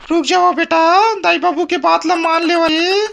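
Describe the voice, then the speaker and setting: Woman playing a high-voiced Chhattisgarhi village mum. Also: fairly even intonation, measured pace, creaky, fairly bright tone, exaggerated voice. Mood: coaxing. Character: coaxing, complaining village mother of two boys. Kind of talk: cartoon comedy acting.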